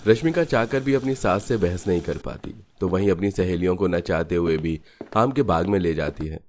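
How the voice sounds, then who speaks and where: A man's voice: low voice, expressive, brisk, darkish tone, a little hiss or hum. A man narrating a scripted cartoon family story.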